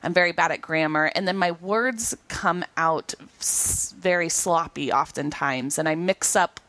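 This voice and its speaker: A woman co-hosting a podcast in a casual chat, with a nasal voice and a quick, rambling way of talking full of restarts. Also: fairly low pitch, fairly bright tone, dry recording. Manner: quick, rambling, self-correcting talk with restarts. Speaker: podcast co-host.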